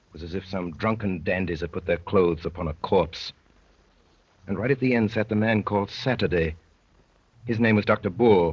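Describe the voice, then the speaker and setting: An actor playing a tense undercover spy, narrating ominously in the style of 1930s radio drama. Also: male voice, fairly low pitch, breathy edge. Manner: dramatic, literary, ominous storytelling. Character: tense undercover spy recounting a sinister gathering. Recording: muffled vintage 1930s radio recording.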